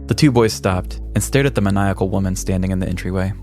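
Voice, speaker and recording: Low-voiced man narrating a mock-dramatic story. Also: quick pace, dark tone, faint music behind. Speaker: podcast host. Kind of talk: scripted comedic storytelling narration.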